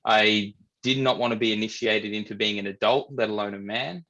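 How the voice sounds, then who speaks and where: A young Australian man from New South Wales, a men's coach, telling his life story in a relaxed, candid way and often repeating words as he thinks. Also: fairly low pitch, brisk, lo-fi sound. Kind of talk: casual storytelling interview.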